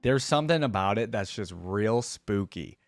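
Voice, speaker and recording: A man's voice: lowish voice, expressive, normal speed, no echo. Man reacting casually, close dry sound. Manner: informal, thinking aloud. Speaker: video host reacting to game content. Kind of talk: casual off-the-cuff reaction commentary.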